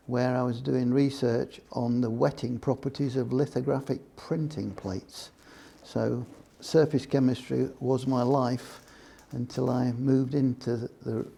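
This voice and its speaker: An older man from Scotland recounting his working life in an oral history interview, measured and explanatory, often restarting his sentences. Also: medium pitch, creaky, mellow, breathy edge. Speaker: interviewee, former computing employee.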